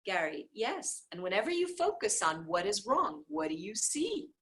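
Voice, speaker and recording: A woman reading a book aloud in an informal style, with emphatic, deliberate phrasing. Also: mid-range voice, sing-song, a bit bright, husky.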